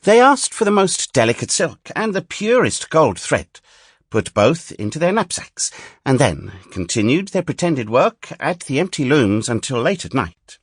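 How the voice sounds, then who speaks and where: A man narrating a fairy tale audiobook in a theatrical, expressive storytelling manner. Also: medium pitch, a little slow, husky, no room sound, studio quality.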